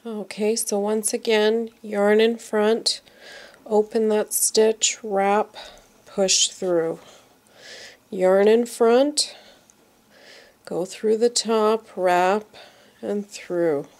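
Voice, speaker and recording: A woman's voice: medium pitch, fairly even intonation, very slow, pauses often, a little mellow. A woman teaching knitting step by step, sounding congested from a cold and repeating each move patiently. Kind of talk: slow step-by-step craft tutorial.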